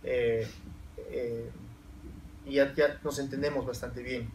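A man's voice: medium pitch, steady tone, slow pace, darkish tone. A man with a Peruvian accent answering questions on a university panel, thoughtful, with frequent restarts and fillers. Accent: Peruvian Spanish. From Peru. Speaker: panel guest writer. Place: university lecture room, seated at panel table. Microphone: table stand mic heard from far camera. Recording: roomy, distant, faint hiss.

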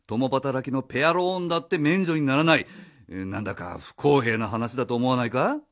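A man's voice: mid-range voice, brisk, close to an everyday voice. A man playing a blunt, cynical needler in a radio drama, arguing with sarcasm. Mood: argumentative.

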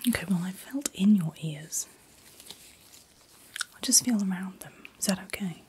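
A middle-aged woman speaking in a gentle, breathy whisper in short soft phrases, as a doctor in an ASMR roleplay. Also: mid-range voice, lively intonation, normal speed. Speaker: ASMR performer playing a doctor.

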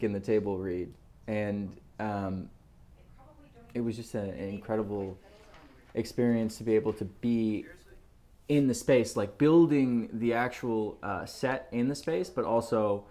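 Male actor in interview mode, lowish pitch, casual and halting, restarts phrases as he reflects. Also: dark tone. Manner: halting, casual, repeats himself. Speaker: lead actor in an indie film.

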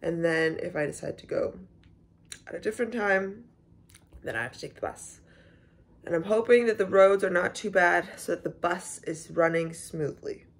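A woman in her twenties with a slightly hoarse voice, chatting casually in a vlog with frequent asides, recorded on a phone indoors. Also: fairly low pitch, normal speed. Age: 20s. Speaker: vlogger. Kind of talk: casual vlog chat to camera. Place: small living room indoors, on a couch. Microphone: phone at arm's length.

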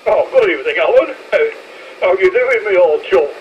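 A man's voice: high voice, sing-song, moderate pace. A man chatting chummily and a little hesitantly through a handheld two-way radio. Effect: heard through a two-way radio. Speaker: amateur radio operator. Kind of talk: casual two-way radio chat. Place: outdoors, walking along a street. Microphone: handheld transceiver. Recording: dull, noisy handheld radio transmission.

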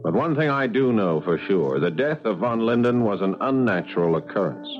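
A man's voice: lowish voice, expressive, moderate pace, soft background music, lightly acted. An actor playing a grave, resolute man out for justice in a 1970s radio drama. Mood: grave, wary. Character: grave, resolute man seeking to avenge a murder. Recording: dull 1970s radio recording.

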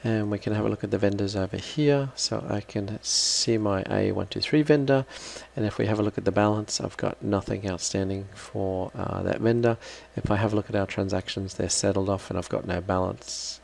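A man giving an unscripted, halting step-by-step software walkthrough as a trainer. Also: on the low side, vocal fry, a little hiss or hum. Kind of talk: screen-recorded software walkthrough.

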